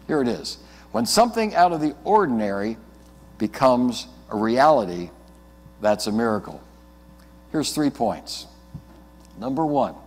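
Mature American man, pastor, earnest sermon, deliberate pauses, long church reverb. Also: medium pitch. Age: middle-aged or older. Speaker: Lutheran pastor. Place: indoors at the front of a church, before the altar.

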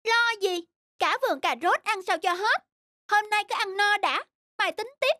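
A woman's voice: extremely high pitch, unhurried, pushed and bright, dry recording, clean studio sound, exaggerated voice. A woman voicing a greedy, carefree, stubborn rabbit in a children's cartoon, brash and heedless.

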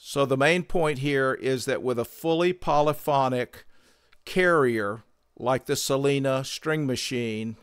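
A man hosting a synthesizer tutorial, explaining gear in a measured, methodical way on a close microphone. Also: medium pitch, clear, resonant tone, no room sound. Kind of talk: explanatory product tutorial narration.

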